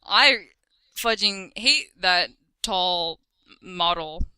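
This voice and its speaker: A young woman co-reading a silly story, tossing in slow, laughing quips. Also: on the low side, expressive, bright, forceful tone, clear, resonant tone, dry recording. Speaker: co-reader. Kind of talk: casual joking chat.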